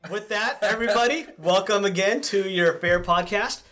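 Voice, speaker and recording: A man's voice: sits high, normal speed, pushed and bright. A man co-hosting a casual podcast, playful and giggly. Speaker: podcast co-host.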